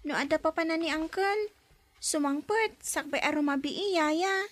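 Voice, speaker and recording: A woman's voice: very high voice, moderate pace, fairly bright tone. A woman voicing a curious young girl cared for by a nanny, in a radio drama. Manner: inquisitive, talks up to the adults. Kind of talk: Ilocano radio drama acting.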